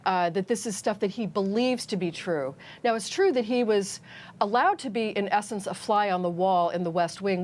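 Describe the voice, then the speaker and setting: A woman with a medium-pitched voice offers news analysis as a panel correspondent. She is measured and conversational, weighing each point as she goes. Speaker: political correspondent, panel guest.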